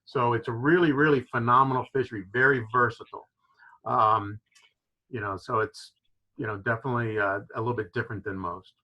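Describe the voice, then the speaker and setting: A man's voice: medium pitch, steady tone, brisk, bright, forceful tone, muffled recording. A man from Florida who owns a fishing lodge, explaining with enthusiasm and many "you know" fillers on a video call. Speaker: fishing lodge owner, guest. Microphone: video call microphone.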